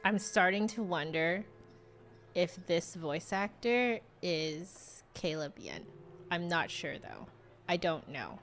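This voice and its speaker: A woman's voice: lowish voice, lively intonation, slow, fairly bright tone. A woman giving casual live game commentary as a streamer, chatty and rambling. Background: game music.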